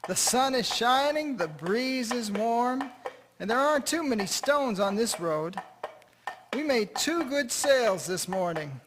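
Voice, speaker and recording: A man's voice: very high-pitched, animated, a little slow. A man playing a kindly, chatty farmer who talks fondly to his horse in a radio drama. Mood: cheerful, fond. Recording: noisy, with clear foley sounds behind.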